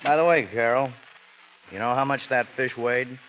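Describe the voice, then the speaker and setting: An actor playing a sly, folksy investigator in a vintage radio drama, speaking casually and deliberately with knowing insinuation. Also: male voice, medium pitch, close to an everyday voice. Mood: quietly taunting. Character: sly, folksy, patient investigator needling a suspect. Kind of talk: 1940s-50s radio acting. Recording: muffled vintage radio recording, faint noise.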